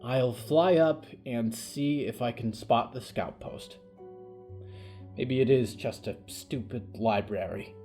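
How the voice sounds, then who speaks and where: A man's voice: medium pitch, takes it slowly, warm tone, soft background music, close to an everyday voice. A man voicing an eager, pleading ghost boy who is a little boastful. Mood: sulky. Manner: whispering, pleading, calling out.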